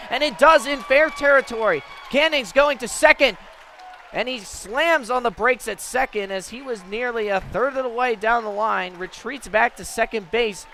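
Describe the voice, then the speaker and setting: An American man giving measured, descriptive live radio play-by-play of a baseball game as the announcer. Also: high-pitched, sharp tone. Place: at a baseball stadium.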